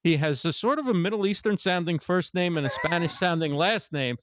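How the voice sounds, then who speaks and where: Bright-voiced male interview host. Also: high-pitched, dry recording, dull audio. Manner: affirming, quick to agree, ends points with "right?". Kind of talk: casual interview hosting. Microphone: studio microphone with headphones.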